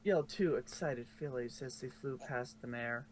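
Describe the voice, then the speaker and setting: Guy reading out fanfic narration, average pitch, bit of background noise. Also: moderate pace. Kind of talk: amateur fan fiction narration.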